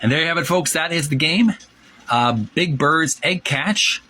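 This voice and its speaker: A man hosting informal video commentary, halting as he goes and drawing out key words for emphasis. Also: fairly high pitch, sharp tone, a little hiss or hum. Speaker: host of a retro gaming channel. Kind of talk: informal video commentary with read-aloud passages.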